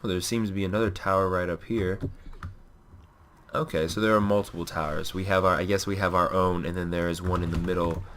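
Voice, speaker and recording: A young man with a low, deep voice that sounds stuffy and nasal from a cold. He rambles through casual commentary on his own gameplay, filling the gaps with "uh" and "you know." Faint keyboard tapping sits behind him at the desk. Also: fairly even intonation, brisk, a bit bright, a little hiss or hum. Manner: rambling, frequent fillers and self-corrections. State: has a cold.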